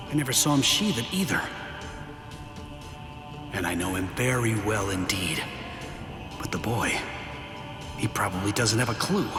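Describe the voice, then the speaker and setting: A man's voice: fairly low pitch, close to an everyday voice. An actor playing a brash, hot-tempered young swordsman in an anime game, talking in a blunt, cocky way. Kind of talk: English anime game dub acting.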